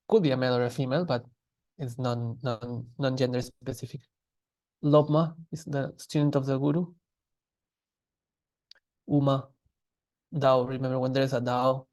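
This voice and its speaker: A man with a gravelly, mid-pitched voice teaches a language class. He explains the grammar patiently and casually, pausing often and restarting his sentences as he goes. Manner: patient, relaxed, with many fillers and restarts.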